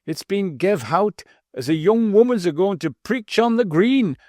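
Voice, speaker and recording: A man's voice: sits high, lively intonation, unhurried, mellow, dry recording, studio quality, voice pushed up. A man voicing a pompous former butler turned innkeeper in a treble, wheezy, slightly mincing voice, deferentially calling everyone sir. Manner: deferential, says sir constantly.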